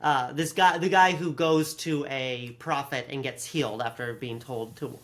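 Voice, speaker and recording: A podcast co-host in loose, conversational review mode. The delivery is animated and unfiltered, with some swearing and mock-quoting, at a middling pitch. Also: a little slow. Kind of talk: casual review chat.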